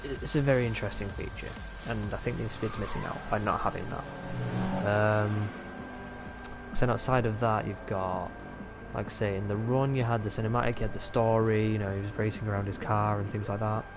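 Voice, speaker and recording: A man's voice: fairly low pitch, fairly even intonation, a little fast, over music. A man with a Yorkshire accent giving rambling, casual commentary while streaming a game, swearing freely. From Yorkshire, England. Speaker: gaming streamer. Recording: clear game noise behind voice.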